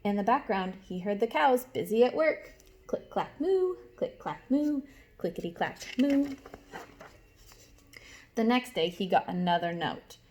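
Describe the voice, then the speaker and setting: A woman teacher reading a storybook aloud to young children, expressively, pausing to explain and ask questions. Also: mid-range voice, big pitch swings, a little mellow, slight background noise.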